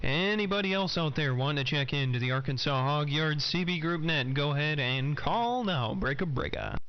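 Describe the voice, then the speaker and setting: A man's voice: on the high side, expressive, normal speed. An American man chatting casually and calling for check-ins as host of a CB radio net. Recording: dull lo-fi CB radio, loud static.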